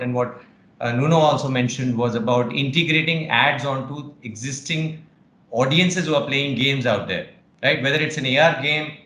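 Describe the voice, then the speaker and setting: A man, speaking in steady, assured business terms on a panel. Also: medium pitch, roomy sound, poor audio. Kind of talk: online panel discussion.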